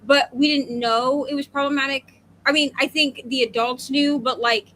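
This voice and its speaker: A woman's voice: high voice, fairly even intonation, normal speed, bright, forceful tone, a little hiss or hum, poor audio. A woman talking casually as a book discussion co-host, conversational and full of asides and self-corrections. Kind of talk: casual chat, personal anecdotes.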